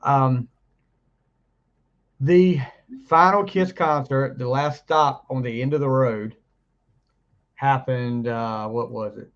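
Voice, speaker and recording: Rambling man host, hoarse from flu. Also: medium pitch, steady tone, slow pace, pauses often, dull audio. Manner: rambling, laughs often, trails off mid-sentence. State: recovering from flu, voice deeper than usual. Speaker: podcast co-host. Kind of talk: casual chat.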